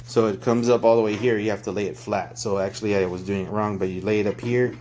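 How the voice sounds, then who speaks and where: Guy with a lowish voice doing a casual gadget review on his phone, some noise and clicking behind him. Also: fairly even intonation, quick pace, darkish tone. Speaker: product reviewer. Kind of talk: casual hands-on product review. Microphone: handheld phone. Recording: clear background noise, handling clicks.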